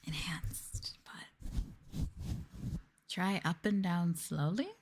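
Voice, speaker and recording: A woman talking in a soft, breathy voice that often drops to whispers, playful and teasing, chatting casually as an ASMR host close to the mic. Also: lowish voice, drawn-out words. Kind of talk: soft-spoken ASMR, casual chat with viewers. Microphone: sensitive mic up close.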